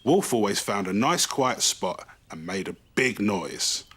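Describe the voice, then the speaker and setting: A man reading a children's bedtime story in an expressive, animated way, stretching key words for emphasis. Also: on the low side, a little slow, breathy edge.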